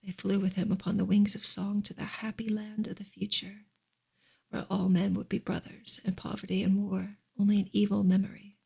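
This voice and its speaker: A woman gives a soft ASMR reading of literary prose. Her voice is very breathy and husky, often slipping toward a whisper, with a creaky grain. She narrates gently and carefully, keeping her intonation fairly even. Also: medium pitch. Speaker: reader, ASMR creator.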